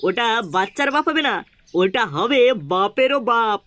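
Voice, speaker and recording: Man putting on a high-pitched cheeky cartoon sidekick. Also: moderate pace, bright, forceful tone. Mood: boastful, reassuring. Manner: teasing, then placating. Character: cheeky, fawning male sidekick of a gang boss. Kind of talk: comedy cartoon dubbing.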